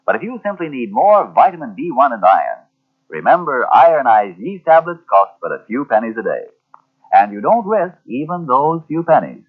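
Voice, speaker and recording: A man's voice: medium pitch, sing-song, normal speed. A man announcing a scripted advertisement in 1940s radio style. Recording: tinny, band-limited old radio recording.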